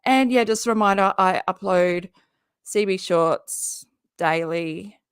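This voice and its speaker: A woman hosting a casual live stream, chatty and jokey, who talks straight to her viewers and is hoarse from often losing her voice. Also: mid-range voice, slow pace, no echo, studio quality. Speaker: YouTube live-stream host and comedian.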